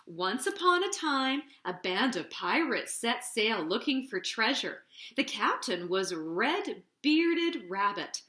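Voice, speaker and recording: Female children's storytime host: highish pitch, very animated, deliberate and expressive picture-book reading.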